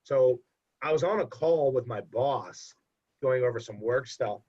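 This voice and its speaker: A young man in casual podcast talk, telling a long story with animated repeats for emphasis. His voice sounds congested. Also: mid-range voice, measured pace. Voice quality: husky, congested. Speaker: podcast guest. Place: home. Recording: dull lo-fi podcast audio.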